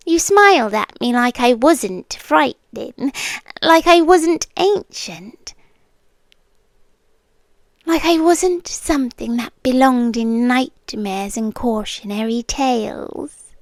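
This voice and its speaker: Woman acting out ancient female monster, British accent, possessive and seductive, very slow, whispery, dry close-mic ASMR. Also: a little high, dry recording. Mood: wistful, confiding. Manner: hushed, teasing, slow and deliberate, often dropping to whispers. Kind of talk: ASMR roleplay. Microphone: close microphone.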